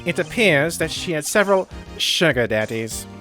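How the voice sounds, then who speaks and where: A man's voice: a little high, lively intonation, moderate pace, pushed and bright, faint music behind, voice pushed down. A man voicing a smug, condescending prosecutor in a courtroom video game, speaking formally and pointedly. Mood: matter-of-fact, smug. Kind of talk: video game role-play.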